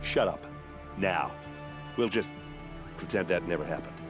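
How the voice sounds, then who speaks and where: A man voicing a brash, gruff warrior captain with a gravelly rasp and blustering swagger in a video game. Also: deep voice, measured pace, music in the background. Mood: exasperated. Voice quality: gravelly, raspy. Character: brash, gruff warrior captain rallying his rowdy men. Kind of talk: cinematic video game voice acting.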